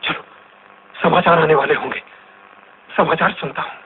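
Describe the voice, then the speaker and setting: An actor playing an ordinary, fearful man in a radio drama, halting and repeating words with gasped exclamations. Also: fairly high pitch, steady tone, close to an everyday voice. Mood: shaken relief. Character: panicked ordinary man gasping for air, waking from nightmare. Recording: tinny, band-limited radio drama recording with noise.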